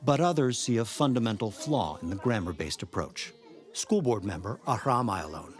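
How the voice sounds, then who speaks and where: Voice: medium pitch, expressive, moderate pace, soft background music. A reporter delivering scripted news narration.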